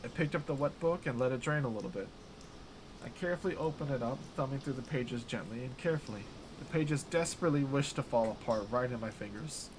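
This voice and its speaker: A man's voice: mid-range voice, noisy background. A man streaming live, reading a horror visual novel aloud with casual, joking, self-deprecating asides.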